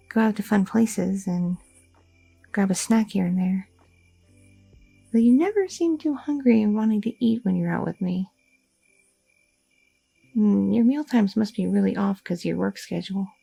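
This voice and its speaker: A woman's voice: medium pitch, normal speed, vocal fry, pauses often, warm tone, breathy edge, faint music behind. A woman playing a chatty, curious young goth girlfriend in an intimate, casual ASMR audio roleplay. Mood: curious, teasing. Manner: casual, rambling, teasing, slangy.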